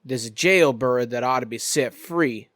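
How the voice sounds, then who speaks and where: A man voicing a folksy, courteous crime boss with quiet authority in a tabletop game. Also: mid-range voice, a little slow, no echo, studio quality, close to an everyday voice. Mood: businesslike. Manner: drawling folksy phrasing, polite authority.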